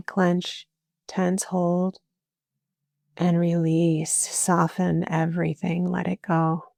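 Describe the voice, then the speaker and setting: A woman leads a guided relaxation at a very slow pace. Her voice sits a little low, with plenty of creak at the edges. She gives calm, soothing instructions in short phrases and leaves space between them. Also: dry recording. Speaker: yoga nidra teacher.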